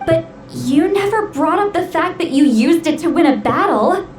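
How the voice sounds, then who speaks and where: A woman's voice: very high voice, lively intonation, normal speed, darkish tone. A woman voicing a proud, prickly, youthful-sounding shaman in a video game, blunt and dramatic. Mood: probing. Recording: reverberant game scene with music.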